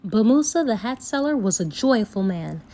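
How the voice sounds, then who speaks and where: A woman storyteller reading a folktale aloud for children, with expressive, deliberate phrasing. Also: medium pitch, background noise.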